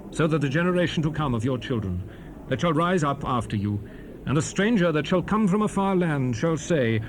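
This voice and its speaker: A man's voice: fairly high pitch, natural voice. An actor playing a stern, fervent prophet exhorting his people in a grave, declamatory dramatized scripture reading. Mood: stern, warning. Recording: noisy 1970s cassette rip.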